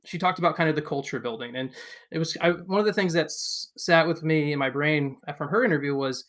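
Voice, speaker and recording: A man co-hosting a sports podcast, asking interview questions casually in rambling, halting phrases. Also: fairly high pitch, a bit bright. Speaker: podcast co-host.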